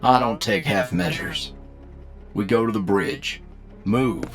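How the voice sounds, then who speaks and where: A man plays a gritty party leader in a sci-fi audio drama. He talks in a slow, folksy drawl with a gravelly edge and few words, under loud music. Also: mid-range voice, animated. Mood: grim, resolute. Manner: laconic, drawling folksy speech. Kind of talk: anime-style audio roleplay acting.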